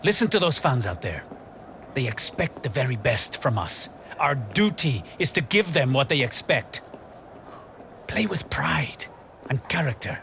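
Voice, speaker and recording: Commanding football coach, rousing game team talk, animated pitch range, slight noise behind. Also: male voice, creaky, exaggerated voice. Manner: emphatic, measured, rousing.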